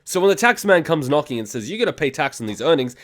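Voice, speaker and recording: A man's voice: fairly high pitch, big pitch swings, fast talker, fairly bright tone. Brief: male, twenties, hosts a reaction video with a blunt, profane ranting delivery. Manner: ranting, blunt, heavy swearing. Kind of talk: casual reaction commentary.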